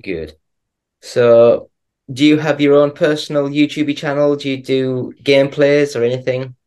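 A woman's voice: rumbling low, steady tone, unhurried, warm tone. A woman hosting a podcast interview, giving short replies and laughing often. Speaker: podcast host. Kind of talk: casual interview chat.

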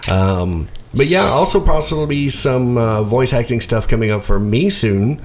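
A man's voice: mid-range voice, lively intonation, unhurried. A man co-hosting a casual radio show, rambling with lots of fillers and jokes. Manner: rambling, filler-heavy, joking. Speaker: podcast co-host.

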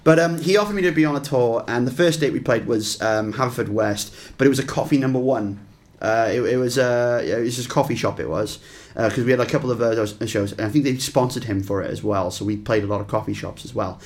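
A man's voice: mid-range voice, fast. A young Welsh man talking casually as a guest musician, rambling with lots of fillers and restarts. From Wales. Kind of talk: casual interview chat.

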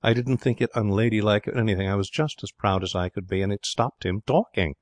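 A man's voice: on the low side, a little fast, dry recording, close to an everyday voice. A man voicing a spirited, petulant young woman in a storybook, with an emphatic, gushing manner. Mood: defiant.

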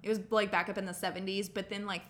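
A woman's voice: mid-range voice, level tone, quick pace, fairly bright tone. A woman from Texas hosting an interview podcast, chatty and laughing easily. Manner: chatty, laughs easily, Southern turns of phrase. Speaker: podcast host. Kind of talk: casual interview chat.

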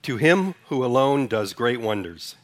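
A man's voice: mid-range voice, lively intonation, a little slow. A man from Sudan preaching a sermon to a congregation, talking casually, putting questions to them and then leading a responsive reading line by line. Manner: conversational, asks the congregation questions. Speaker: pastor.

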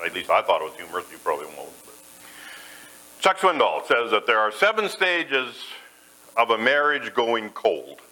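A man's voice: medium pitch, pushed and bright, poor audio. A man preaching a sermon in a church, folksy and self-deprecating as he mixes jokes with scripture. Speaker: pastor.

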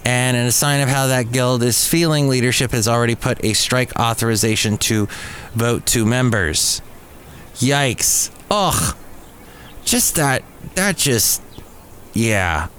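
A man with a mid-pitched voice talks as a podcast host, going through entertainment news with wry, opinionated commentary. Background noise and ambience sit behind his voice. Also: takes it slowly, fairly bright tone.

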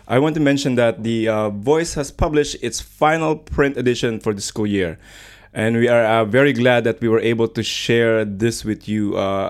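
A man hosting a live student radio show in a studio, chatting casually with many small hesitations. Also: on the low side. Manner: chatty, hesitant with frequent fillers. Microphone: studio microphone.

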